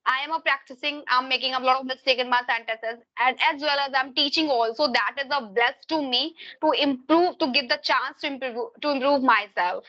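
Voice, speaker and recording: A woman's voice: high voice, a little fast, hardly any pauses, dull audio. A woman from Uttar Pradesh with an Indian accent, a Hindi speaker, teaching English and chatting at length on a call with frequent grammar slips. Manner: long searching answers with frequent grammar slips. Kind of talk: casual video-call conversation.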